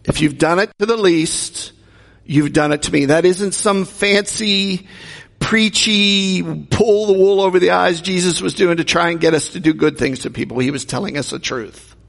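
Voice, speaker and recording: Man, pastor, sermon, high voice, gravelly, long hall reverb. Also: moderate pace, a bit bright. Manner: conversational preaching with rhetorical questions.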